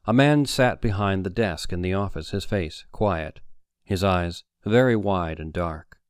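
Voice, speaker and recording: A man's voice: low voice, measured pace, smooth, no room sound, studio quality. A man reading a story aloud as an audiobook narrator, in dramatic scripted narration.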